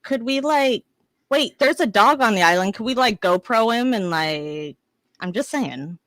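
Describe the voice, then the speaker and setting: Woman, bubbly co-host, lively rising voice, higher pitch, dry close mic. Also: measured pace, dry recording. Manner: bubbly, giggly, says "like" often. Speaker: podcast co-host. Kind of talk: casual live chat.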